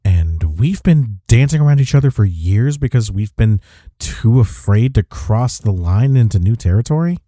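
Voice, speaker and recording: A man playing a teasing, tender office rival in an intimate romantic audio roleplay, speaking haltingly with breathy sighs and murmurs. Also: on the low side, expressive, warm tone, no echo, clean studio sound. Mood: stunned, amused. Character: young male coworker rival confessing love, teasing and tender.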